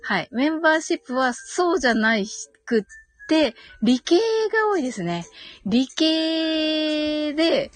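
A woman's voice: high voice, sing-song, unhurried, no room sound, soft background music. A woman hosting a live audio stream, chatting casually and politely as she reads and answers comments, with frequent agreeing hums and giggles.

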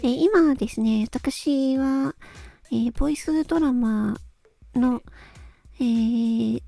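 A woman hosting a solo talk, speaking casually and reflectively to listeners in a polite, gentle, hesitant way as she thinks aloud. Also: high-pitched, takes it slowly, vocal fry, no room sound. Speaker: solo host, amateur voice actress. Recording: close dry recording with background music. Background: background music.

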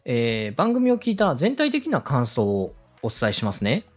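Husky, lively male podcast host. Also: medium pitch, normal speed, faint music behind. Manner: polite, explanatory, methodical. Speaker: podcast host, radio director coaching a co-host. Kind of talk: structured advice talk for a podcast.

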